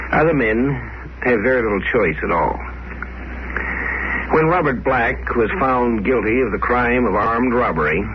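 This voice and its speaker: A man delivers scripted narration as an old-time radio host. His voice is middling to low and his phrasing grave and deliberate. The sound is muffled, with some background noise. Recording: dull, noisy old 1950s radio recording.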